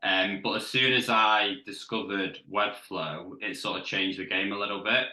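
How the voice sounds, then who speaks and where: A man who runs a small web agency, answering interview questions in a relaxed way, his sentences trailing off at the ends. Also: fairly low pitch, moderate pace, reverberant, poor audio.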